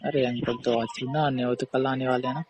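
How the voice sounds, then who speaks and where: A man's voice: mid-range voice, little pitch movement, quick pace, a little mellow, husky, no echo. A man talking casually in a recorded phone call. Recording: faint background noise on call recording.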